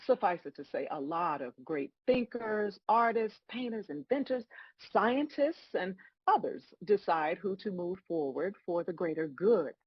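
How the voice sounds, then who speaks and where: A woman playing God as a wry, patient, bemused celestial judge, explaining things in a measured way at a table read of a comedy. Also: mid-range voice, big pitch swings, slightly rough, no echo, dull audio.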